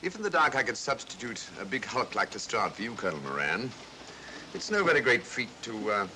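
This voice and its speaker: Over a noisy vintage soundtrack comes a brilliant, self-assured detective. He explains things urbanely and wryly, in quick speech with a lively, swooping pitch. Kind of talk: 1940s film mystery acting. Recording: noisy old film soundtrack.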